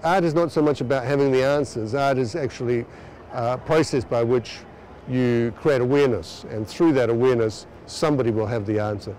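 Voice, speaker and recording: A man from Australia gives an interview as an artist in a medium-pitched voice. He speaks reflectively, repeating words for emphasis, over faint noise. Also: brisk, a little mellow, poor audio. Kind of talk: interview soundbite.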